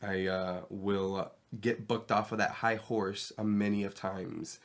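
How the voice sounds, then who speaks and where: A man's voice: lowish voice, fairly even intonation, slow. A man hosting his first home podcast, talking candidly and rambling with plenty of ums, his voice stuffy and nasal from a cold, recorded in a bedroom on a cheap mic. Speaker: podcast host. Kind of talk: casual solo podcast monologue. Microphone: inexpensive mic into a laptop.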